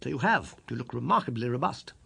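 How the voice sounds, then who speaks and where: A man voicing a brisk, self-assured British gentleman detective in an audiobook, high and somewhat strident, with a quick, incisive manner. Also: animated, creaky, slightly rough, heavily acted. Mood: amused, confident.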